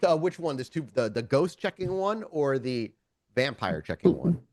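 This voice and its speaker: A man's voice: medium pitch, very animated, normal speed, a little mellow. A man narrating a tabletop roleplaying game as game master, conversational and informal, with descriptive storytelling.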